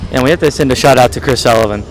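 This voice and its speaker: Fast-talking male event commentator. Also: medium pitch, a little hiss or hum, low-quality recording.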